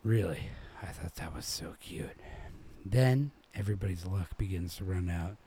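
A man from New England narrates literary fiction. His voice is low and dark, with a husky grain, and he reads expressively. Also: moderate pace. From New England, United States. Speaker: reader.

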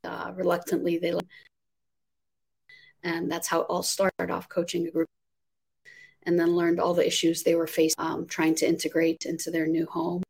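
A woman's voice: on the low side, completely flat delivery, moderate pace, gravelly, long pauses, darkish tone, breathy edge. A woman from Jordan, in her forties, guest on a remote interview, earnestly explaining her work with plenty of fillers. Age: 40s. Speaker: guest, nonprofit founder. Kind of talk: casual interview chat.

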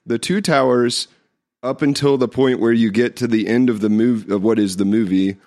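A hoarse man co-hosting a podcast, joking wryly in casual chat with stammered restarts. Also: medium pitch, fairly even intonation, normal speed, darkish tone. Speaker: podcast co-host.

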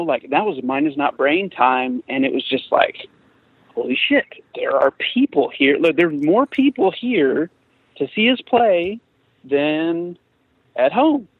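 Man in his forties, rambling casual chat, higher voice, tinny phone-like line, dry sound. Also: husky, no room sound. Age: 40s. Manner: rambling, many restarts and fillers. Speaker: guest musician.

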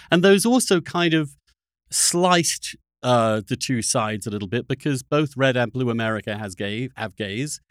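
Man in halting, skeptical political conversation. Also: mid-range voice, fairly bright tone, dry recording. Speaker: podcast host.

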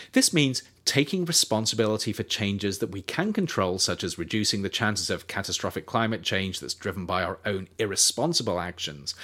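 A man reading his own nonfiction book aloud as an audiobook, in a measured, steady delivery. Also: lowish voice. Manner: measured, animated intonation. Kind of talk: audiobook narration.

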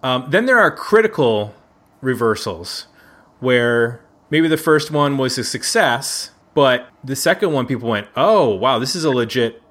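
A man's voice: medium pitch, sing-song, a little slow, fairly bright tone. A man from Buffalo in the United States hosts a casual round-table music podcast in a chatty, jokey way, often correcting himself. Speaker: podcast host. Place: indoors at a neighbor's house.

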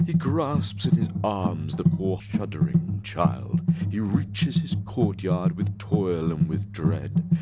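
A man playing a brooding, forgetful spirit who guards graves, telling old tales in a solemn theatrical manner for an audio play. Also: low voice. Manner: solemn, theatrical, deliberate storytelling. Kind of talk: audio play with verse recitation.

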